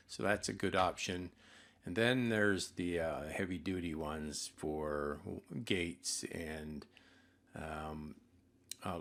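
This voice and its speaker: A man giving an unscripted product review voice-over, explaining things in a halting way with frequent hesitations. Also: sits low, a bit bright. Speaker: product reviewer and intercom seller.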